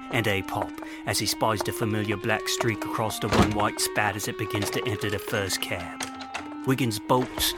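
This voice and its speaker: Male audio drama narrator, lower register, florid dramatic storytelling, prominent music bed. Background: loud music.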